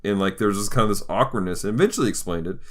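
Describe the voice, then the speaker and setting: A man hosting a casual pop-culture talk, retelling scenes in an animated way and slipping into quoted voices. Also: lowish voice, rapid-fire. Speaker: podcast host.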